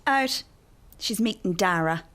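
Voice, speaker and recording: A woman's voice: medium pitch, lively intonation, unhurried, fairly bright tone. A woman playing a sensible, supportive middle-aged mother in a radio drama, dry and no-nonsense. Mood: dry, discreet. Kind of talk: naturalistic radio drama acting.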